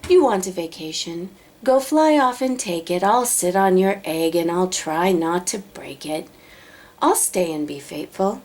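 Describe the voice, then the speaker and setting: A woman voicing a huge male elephant in a children's storybook, gentle, faithful and earnest. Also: on the low side, expressive. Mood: earnest, resolved. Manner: earnest, deliberate. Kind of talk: children's storybook read-aloud.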